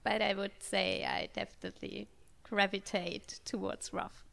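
A woman's voice: medium pitch, flat delivery, measured pace, vocal fry, a bit bright. A giggly woman who speaks English as a second language, chatting casually as a panelist in a group question-and-answer chat. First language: not English. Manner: giggly, laughs often.

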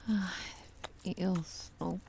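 A woman whispering breathily in sexually explicit adult ASMR audio, drawn-out and soothing, with soft hums. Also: lowish voice, steady tone, vocal fry, noisy background.

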